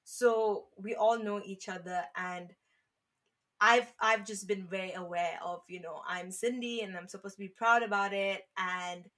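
A woman's voice: mid-range voice, a bit bright. A woman from Malaysia, a podcast guest, talking earnestly and rambling with "you know" and restarts. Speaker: podcast guest, founder of an online community page. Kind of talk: casual conversational interview.

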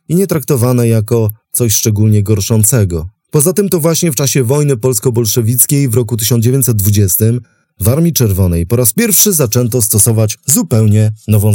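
A man's voice: mid-range voice, warm tone, no room sound, clean studio sound. A man narrating a history documentary from a script, as its host.

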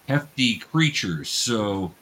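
A man's voice: medium pitch, big pitch swings, slow pace, a loud crowd, low-quality recording. A man running a tabletop game as game master, coaching young players in a casual, patient way with hesitant explanations.

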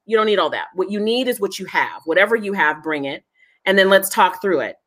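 A woman's voice: medium pitch, animated, brisk, a bit bright. Earnest woman who repeats key points. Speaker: genealogy foundation guest. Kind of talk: conversational interview.

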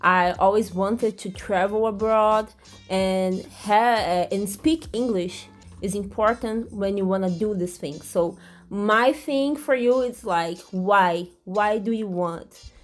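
A woman's voice: medium pitch, slow, faint music behind. A young Brazilian woman with a Brazilian Portuguese accent, a podcast guest speaking English hesitantly and searching for words.

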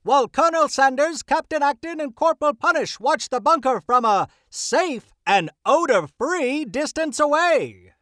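A woman's voice: high voice, lively intonation, moderate pace, fairly bright tone, no room sound, studio quality. A woman playing a wry, melodramatic narrator of a tongue-in-cheek audio serial. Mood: wry, dramatic.

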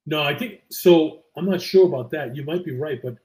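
A man's voice: fairly high pitch, brisk, darkish tone. A man co-hosting a casual live chat show, tossing in colloquial interjections and reading out viewer comments.

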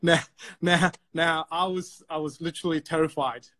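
Chatty man from Sri Lanka, fairly high voice. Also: steady tone, moderate pace, a bit bright. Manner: chatty, repeats words while telling a story. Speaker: podcast guest. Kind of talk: casual storytelling.

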